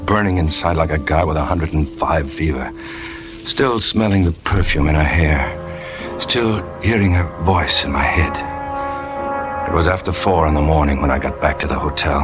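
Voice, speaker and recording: A man's voice: very deep voice, moderate pace. An actor playing a tormented, jealous man who narrates his crime with brooding intensity, in 1940s radio drama style. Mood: brooding, tense. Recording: muffled old radio recording with music.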